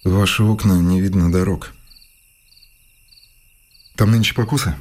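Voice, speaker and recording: An actor playing a restless, wry village schoolteacher in a radio play, brooding and ironic. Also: male voice, low voice, steady tone, unhurried, a little mellow.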